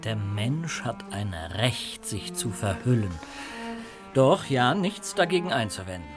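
An actor playing an aloof older conductor, measured and haughty. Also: male voice, lowish voice, big pitch swings. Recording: music playing underneath.

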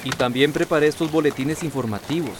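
An actor playing an earnest, supportive health worker, businesslike and respectful, in a radio soap opera. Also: male voice, fairly high pitch, quick pace, noisy background, natural voice.

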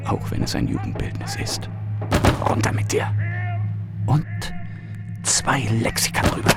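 An actor playing a muttering, solemn, deliberate man in a radio play. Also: loud music behind the voice, close to an everyday voice. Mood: hushed, contemptuous. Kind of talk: German radio play acting.

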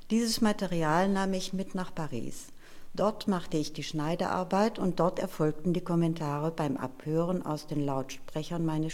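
Low-voiced woman interpreter, measured delivery. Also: darkish tone. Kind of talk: live consecutive interpreting and reading of a prepared text.

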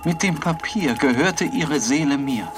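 A man playing a disturbed, morbid painter who narrates in a brooding, deliberate literary monologue. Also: on the high side, animated, over music. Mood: haunted, brooding.

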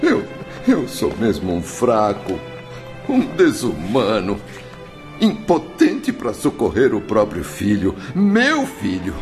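A man's voice: fairly low pitch, huge pitch swings, slow, music in the background, heavily acted. Pleading ghostly father, wildly swooping voice. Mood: despairing remorse. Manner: pleading, emotional. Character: remorseful, desperate spirit of a dead father. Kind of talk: radio drama acting.

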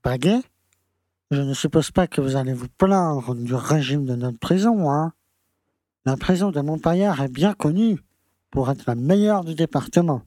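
Casting: male actor for pompous, slippery town mayor, talking down to everyone, fairly high voice, radio play. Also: animated, a little slow, dark tone, no echo. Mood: patronizing. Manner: pompous, patronizing. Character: pompous small-town French mayor, condescending and evasive. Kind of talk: audio drama acting.

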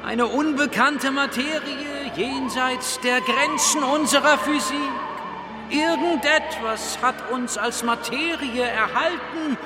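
An actor playing a learned, unflappable gentleman traveller in a radio drama, speaking precisely and pompously. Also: extremely high pitch, slow pace, a loud crowd. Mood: fascinated lecturing. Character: learned, unflappable English gentleman traveller, drily fascinated by everything. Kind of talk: audio drama acting. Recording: radio play, loud music behind.

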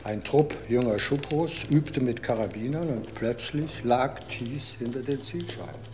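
An actor playing a stern senior homicide detective in a radio play, measured, matter-of-fact and authoritative. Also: male voice, mid-range voice, darkish tone, lightly acted. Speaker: senior police official. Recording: background noise, typewriter clatter. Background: office typing.

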